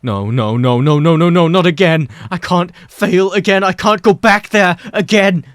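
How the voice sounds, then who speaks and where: A man voicing a desperate, tormented young man who pleads and nearly sobs in a much higher voice. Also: normal speed, clear, resonant tone, no echo, clean studio sound, lightly acted. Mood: panicked. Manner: pleading, near sobbing.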